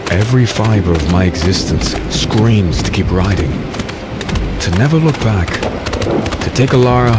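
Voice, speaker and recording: Grim fantasy warrior, really deep brooding voice, worn out and haunted, music loud under it. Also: male voice. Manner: slow, brooding, dramatic delivery. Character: haunted warrior fugitive, weary, grim, dreading a tyrant's vengeance. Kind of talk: full-cast dark fantasy audio drama. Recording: music behind the voice.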